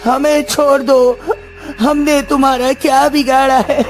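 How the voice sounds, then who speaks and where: Shrill, defiant little prince. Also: male voice, little pitch movement, unhurried, soft background music. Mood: pleading. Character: defiant captive young prince, a small boy.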